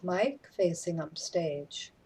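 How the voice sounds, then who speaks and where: A woman's voice: fairly low pitch, expressive, slow, dark tone, a little hiss or hum. A woman reading out stage directions as scripted narration for a drama.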